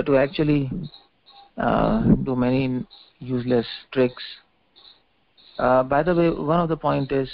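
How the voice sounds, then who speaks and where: An Indian-accented man delivers a devotional lecture in a medium-pitched, creaky voice. He explains haltingly, often restarting and repeating phrases. The audio is lo-fi call sound with faint background noise. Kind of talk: religious lecture, explaining scripture extempore. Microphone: conference call line.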